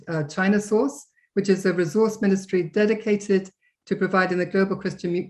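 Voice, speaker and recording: A woman's voice: fairly low pitch, a little mellow. A woman hosting an interview, welcoming viewers and introducing a guest with many hesitant fillers. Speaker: interview host.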